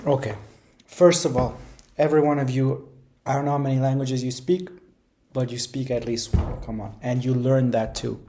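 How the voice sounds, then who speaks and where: A man's voice: medium pitch, little pitch movement, moderate pace, mellow, a little hiss or hum. A man giving casual, rambling how-to advice, speaking informally and emphatically and often repeating phrases for stress. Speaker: language-learning video creator.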